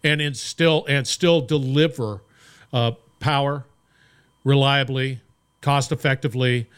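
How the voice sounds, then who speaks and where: Host: middle-aged man, energetic and emphatic, average pitch. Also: a little slow, clean tone. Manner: upbeat and emphatic, repeats words. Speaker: podcast host. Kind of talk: podcast intro and interview.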